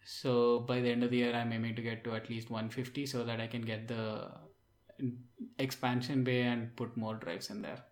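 Male podcast co-host, medium pitch, casual tech talk, echoey recording. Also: level tone, moderate pace. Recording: roomy, echoey sound.

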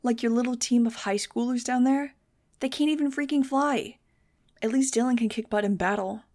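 A woman's voice: fairly high pitch, moderate pace, darkish tone, voice pushed up, close to an everyday voice. A woman voicing an angry, blunt teenage girl with wings, snapping back in heated retorts. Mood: furious.

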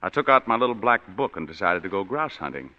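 A man's voice: on the low side, sing-song, fast, pushed and bright, full character voice. An actor playing a tired, wisecracking male private eye who narrates dryly in a 1940s radio drama, on a dull lo-fi broadcast recording. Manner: dry, sardonic first-person narration.

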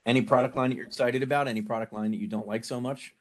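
A man's voice: medium pitch, steady tone, very fast. A friendly, joking man hosting a casual group business call and asking questions.

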